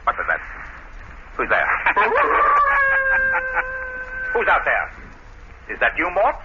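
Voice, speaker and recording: Very noisy, muffled old radio drama recording of a cold-blooded villain. His voice swings wildly in pitch, fearful and melodramatic. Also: wildly swooping pitch, very slow, heavily acted. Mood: alarmed. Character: cold-blooded, fearful male killer, gloating then panicking. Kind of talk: 1930s radio acting.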